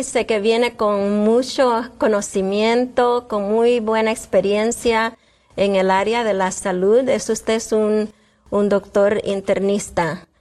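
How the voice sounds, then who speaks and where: A woman's voice: medium pitch, darkish tone. Panamanian woman hosting an interview. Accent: Panamanian Spanish. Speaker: host. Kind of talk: health program interview.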